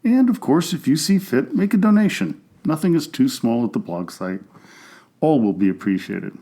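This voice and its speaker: A man narrates a history podcast from a script. His voice is mellow and warm, and his delivery stays steady and informative, with a middle pitch. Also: moderate pace.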